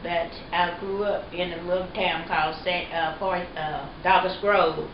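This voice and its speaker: A woman's voice: on the low side, little pitch movement, normal speed. A woman in her sixties from North Carolina, a former mill worker, talking easily about her old job. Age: 60s. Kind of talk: casual interview. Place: living room. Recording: dull roomy audio with background noise.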